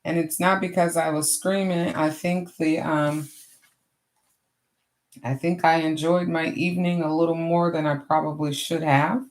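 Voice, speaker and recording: A middle-aged woman with a hoarse voice, hosting a casual crafting livestream and chatting to viewers as she works. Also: deep voice, flat delivery, a little slow. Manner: chatty, greets viewers warmly and often. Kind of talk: casual live craft chat.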